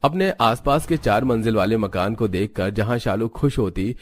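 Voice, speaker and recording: A man's voice: on the low side, moderate pace, faint music behind. A man narrating a scripted cartoon story.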